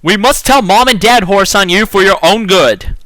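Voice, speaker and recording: Man voicing a high-pitched, preachy young sister horse. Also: moderate pace, bright, forceful tone, no room sound. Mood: firm. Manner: earnest, moralizing. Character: prim, preachy young female horse scolding her sister.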